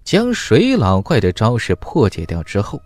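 A man narrates a fantasy audiobook in a lowish voice with lively, dramatic swings. The recording is close and dry, and the delivery is scripted storytelling. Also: normal speed, dry recording.

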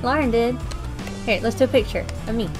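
A woman with a high voice talks casually through a family video game. She is chatty and playful, as a mother playing along with her children. Music is clearly heard behind her. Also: a little fast. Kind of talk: casual family gameplay chat. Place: indoor room at home.

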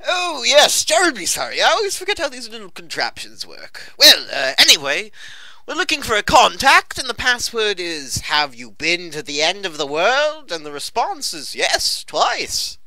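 A man playing a jaunty, self-important gentleman explorer in a comedic radio drama, with genteel, blustering politeness. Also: high-pitched, huge pitch swings, normal speed, dry recording, full character voice, low-quality recording. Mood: breezy, flustered.

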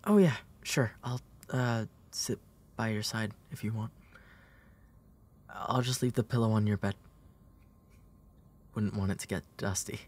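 A man acting a gentle, protective big brother in an intimate comfort roleplay audio, tender and hesitant, with a breathy, soft delivery. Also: fairly low pitch, unhurried, pauses often. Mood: tender, caring. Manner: hesitant, tender, soft-spoken, often dropping into breathy whispers.

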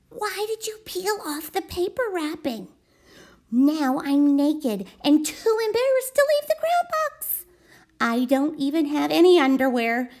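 A woman's voice: sits high, a little mellow, voice pushed up, full character voice. A woman voicing an embarrassed, pleading crayon in a children's storybook, flustered in manner. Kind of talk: children's storybook read-aloud.

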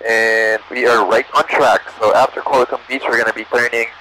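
A man's voice: medium pitch, flat delivery, brisk, bright, forceful tone, a little hiss or hum, rough recording. A young man, a student pilot, narrating his flight casually and making radio calls over an aviation headset. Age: young adult. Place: small propeller plane cockpit in flight. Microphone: aviation headset boom mic. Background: music.

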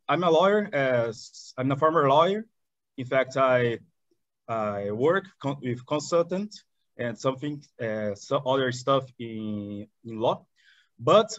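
A man in his thirties from southern Brazil, a Portuguese speaker with a Brazilian accent, talking eagerly as an interview guest in halting English with many restarts. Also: mid-range voice, a bit bright, no echo. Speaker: guest, chamber of commerce director. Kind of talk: video call interview. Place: indoor room in front of curtains. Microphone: video call webcam. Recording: dull dry webcam audio.

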